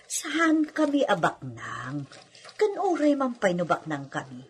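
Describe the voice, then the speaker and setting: A woman acting a wealthy, gracious middle-aged mother in a radio drama, with a husky voice. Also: fairly low pitch, huge pitch swings, a little slow. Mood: gentle, reassuring. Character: wealthy, gracious mother who shuns formal titles.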